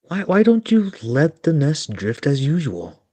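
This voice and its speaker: A man's voice: on the high side, wildly swooping pitch, unhurried, no room sound, full character voice. A man voicing a cocky, hot-tempered boy in a storybook reading, emphatic and exasperated. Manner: loud, retorting, emphatic.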